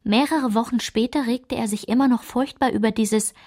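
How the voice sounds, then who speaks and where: An actress playing a woman who recalls her painful girlhood, narrating a literary radio drama in a measured, reflective way. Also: a little high, mellow, lightly acted.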